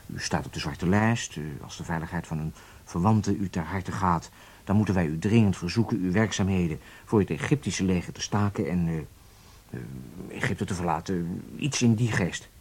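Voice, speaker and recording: An actor playing an earnest, deliberate horse-sport entrepreneur in a Dutch radio play, with a husky voice. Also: male voice, deep voice, darkish tone. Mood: grave.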